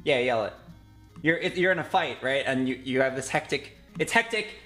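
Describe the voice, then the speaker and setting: A man's voice: medium pitch, animated, normal speed, fairly bright tone, faint music behind. A man in his twenties chatting casually as a streamer while he directs a recording session. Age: 20s. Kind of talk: casual chat, giving directions. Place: indoor streaming room.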